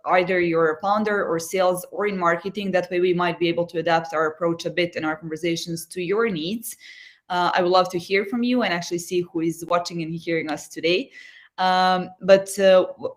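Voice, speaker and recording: A man with a Serbian accent hosting a live webinar on a video call, speaking hesitantly with many fillers. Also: high-pitched. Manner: hesitant, lots of uh and obviously. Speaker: webinar host. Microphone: video call mic.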